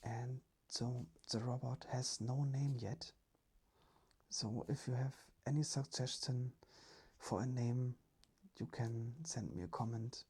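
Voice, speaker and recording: ASMR storyteller, very breathy and whispery, slow pace, medium pitch. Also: fairly even intonation, mellow.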